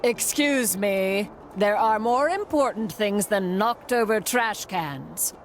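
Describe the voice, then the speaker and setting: Theatrical mystical fortune teller. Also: female voice, mid-range voice, expressive, slow pace, soft background music. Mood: impatient. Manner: theatrical, grandiose. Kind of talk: cartoon game acting.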